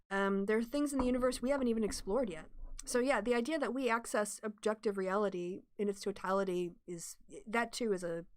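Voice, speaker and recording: A woman's voice: fairly high pitch, no room sound, clean studio sound. Woman thinking aloud on a livestream. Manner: thinks aloud with restarts, explanatory and opinionated. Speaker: livestream host. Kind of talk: casual livestream chat, reading viewer comments.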